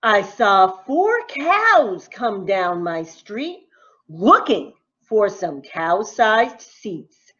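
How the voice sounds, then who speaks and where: Very slow, animated woman reading a storybook. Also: mid-range voice, dull audio. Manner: deliberate, animated, sing-song phrasing. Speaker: teacher reading aloud to children. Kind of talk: expressive storybook read-aloud in rhyme.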